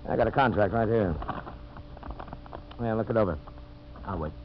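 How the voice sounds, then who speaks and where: An actor playing a smooth, calculating businessman villain in a 1950s radio drama, with an insinuating manner. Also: lowish voice, moderate pace, close to an everyday voice. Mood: smooth, businesslike. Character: scheming, smooth businessman villain plotting sabotage. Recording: muffled, hissy old radio recording with hum.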